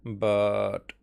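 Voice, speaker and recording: A man livestreaming a game in a casual, rambling way, often halting and trailing off mid-thought. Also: lowish voice, creaky, darkish tone. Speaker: game streamer. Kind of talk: casual livestream chat over gameplay.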